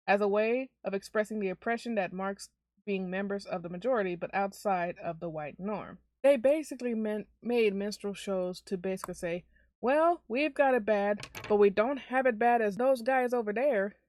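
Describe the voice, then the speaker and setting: A woman giving opinionated commentary to her viewers, speaking bluntly and emphatically with deliberate stresses. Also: mid-range voice, a little mellow, no room sound. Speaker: video commentator.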